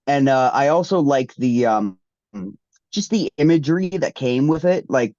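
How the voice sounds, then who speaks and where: A man's voice: mid-range voice, moderate pace, a little mellow, dry recording. Congested man rambling with ums. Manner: rambling, many ums and restarts. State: congested, getting over the flu. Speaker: guest wrestler. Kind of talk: casual interview answers. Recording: dull, dry lo-fi sound.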